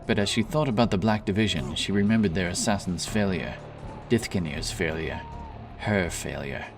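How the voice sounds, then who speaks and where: A man's voice: low-pitched, normal speed. A man narrating a fantasy tale in a solemn, dramatic, scripted storytelling style. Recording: music under the narration.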